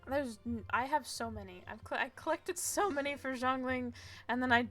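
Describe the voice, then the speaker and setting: A woman's voice: high-pitched, normal speed, fairly bright tone, soft background music. A woman game streamer giving casual, giggly live commentary with murmured asides. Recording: home stream with background noise.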